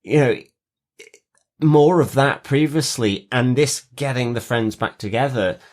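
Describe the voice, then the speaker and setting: A man's voice: mid-range voice, measured pace. A man co-hosting a podcast, discussing television in a reflective, qualifying way. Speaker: podcast co-host.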